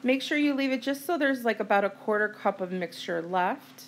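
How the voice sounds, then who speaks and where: A woman's voice: mid-range voice, expressive, normal speed, a little hiss or hum. A woman hosting a cooking vlog, chatting in a friendly way as she walks through each step in her home kitchen. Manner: friendly, explains steps plainly, with fillers. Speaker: cooking vlogger. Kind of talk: casual cook-along narration.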